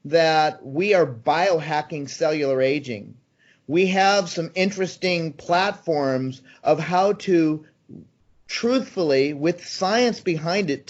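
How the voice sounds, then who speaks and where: A man in his sixties, a guest in an interview, talking eagerly and persuasively with frequent ums and restarts. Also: fairly high pitch. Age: 60s. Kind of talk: conversational interview answers. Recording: slightly dull, muffled sound.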